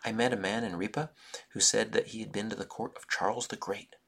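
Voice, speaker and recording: An earnest young man talks plainly about his dreams, as a storyteller voices him. The voice is low, gravelly and husky. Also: fairly even intonation, fairly quick, fairly bright tone, heavily acted. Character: restless young man who longs to travel.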